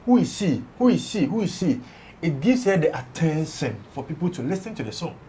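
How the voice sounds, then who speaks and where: A man's voice: fairly high pitch, sing-song, a little fast, mellow, a little hiss or hum. A man with a Ghanaian accent giving his views on a studio entertainment show, switching between English and Twi. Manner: mixes English with Twi, emphatic. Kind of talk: casual studio discussion.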